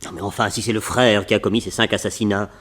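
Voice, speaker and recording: An actor playing an excitable, doubting companion in a radio drama, nervous and incredulous, with a voice that jumps up in pitch. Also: male voice, quick pace. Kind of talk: 1940s radio acting.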